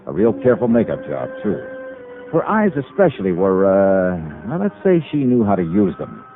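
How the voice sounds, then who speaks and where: Hard-boiled radio investigator: wry, clipped, lively intonation, muffled old broadcast sound. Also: male voice, deep voice, normal speed, full character voice. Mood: wry, businesslike. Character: brisk, wry male insurance investigator, American. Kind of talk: 1950s radio drama acting and first-person narration.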